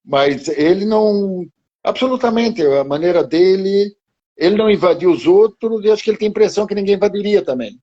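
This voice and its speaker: A man in his seventies reminiscing slowly in conversation, halting and restarting his phrases. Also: high voice, animated, dark tone, lo-fi sound. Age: 70s. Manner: slow, halting, with many restarts. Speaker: guest martial arts teacher.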